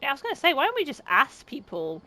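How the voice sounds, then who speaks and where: A woman's voice: high voice, wildly swooping pitch, quick pace, bright, forceful tone. A woman giving casual let's play commentary, blunt, sarcastic and sweary as she reacts to the game. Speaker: gaming video host. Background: faint game music.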